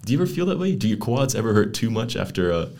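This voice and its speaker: A man's voice: on the low side, sing-song, fast talker, a little mellow. A young man, hosting a casual podcast interview with chatty, playful asides. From Netherlands. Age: young adult. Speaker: podcast host.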